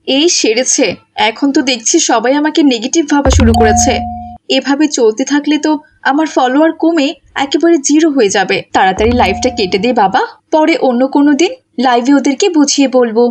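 A woman voicing a selfish, short-tempered mother in a cartoon, with a shrill, theatrical delivery. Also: normal speed, darkish tone, slight background noise, lightly acted. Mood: flustered, wheedling.

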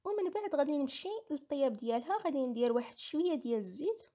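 A woman's voice: sits high, animated, rapid-fire, warm tone. A woman with a Moroccan accent giving a casual recipe voice-over, explaining each step and repeating herself. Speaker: home cooking vlogger.

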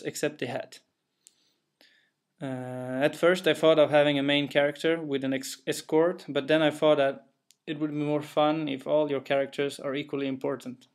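A young developer from Sweden, his English carrying a clear Swedish accent, talks through his own game with an untheatrical, matter-of-fact delivery. His voice sits a touch higher than most men's, and he leans on small fillers and careful phrasing as he explains. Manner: careful, plain, a little hesitant with fillers. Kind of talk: narrated gameplay walkthrough.

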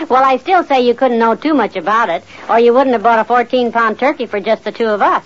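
An actress playing a patient, gently teasing middle-aged wife in an old-time radio comedy. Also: female voice, a little high, brisk, slight background noise, natural voice. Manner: gently chiding. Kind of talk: 1940s-50s radio comedy acting. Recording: muffled old radio recording.

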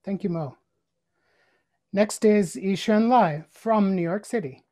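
Woman hosting with a mellow voice. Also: mid-range voice. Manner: brisk, short handoffs. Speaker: host. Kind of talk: moderating introductions. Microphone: video call.

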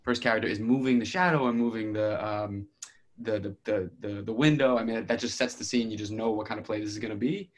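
A man's voice: on the low side, fairly quick. A man giving casual feedback during a group video call. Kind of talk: casual chat. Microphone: video call microphone.

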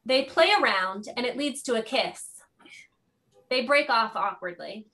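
Higher-voiced woman reading stage directions. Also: expressive, unhurried, bright, forceful tone. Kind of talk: scripted narration of a play.

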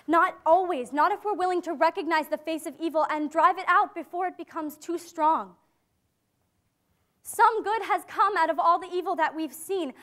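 A young woman playing an earnest, hopeful teenage girl in hiding, in a dramatic stage reading with deliberate phrasing. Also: very high voice, a bit bright. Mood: hopeful, insistent. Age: young adult.

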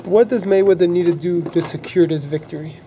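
A man working as a sports reporter, firing off quick, upbeat questions in a casual backstage interview inside a hotel. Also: high-pitched, fairly even intonation, dark tone, a little hiss or hum. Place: hotel corridors and event hall indoors.

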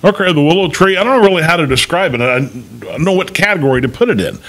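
A man's voice: fairly high pitch, expressive, fast talker, fairly bright tone, low-quality recording. A man co-hosting a casual studio talk show, explaining things in an easygoing, plain way into a desk mic.

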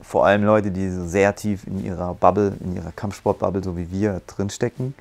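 A man in his forties, a martial arts school owner, earnestly giving a testimonial on a lapel mic in a bright room. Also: low-pitched, fairly even intonation, a little fast, gravelly. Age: 40s. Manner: earnest, explains at length with restarts. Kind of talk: testimonial interview. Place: bright room with curtains, standing.

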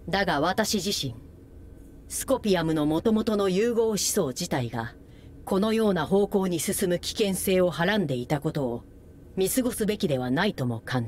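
A woman voices a composed female analyst in a video game, formal and clipped. Her voice sits low for a woman, with faint ambient noise behind her. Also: a little mellow, lightly acted. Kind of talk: video game cutscene acting.

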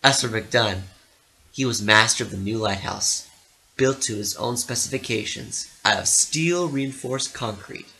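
A man narrating a literary story dramatically, with theatrical, deliberate phrasing. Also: medium pitch, creaky, sharp tone, poor audio.

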